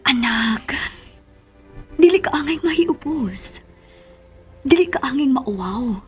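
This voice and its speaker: A woman's voice: high-pitched, lively intonation, slow pace, faint music behind. A woman with a Cebuano Filipino accent playing an indulgent, coaxing mother of a grown daughter in a radio drama. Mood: soothing, reasoning. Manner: coaxing, mixing English into Cebuano.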